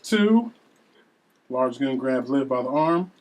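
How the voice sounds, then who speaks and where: A man's voice: mid-range voice, animated, slow pace, a little mellow. A man narrating scene headings and stage directions at a script table read.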